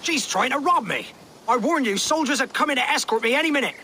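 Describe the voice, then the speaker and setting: An actor playing a fussy, grumbling, easily alarmed merchant in a video game. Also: male voice, extremely high pitch, lively intonation, fast talker, bright, forceful tone, slight background noise, heavily acted. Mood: indignant.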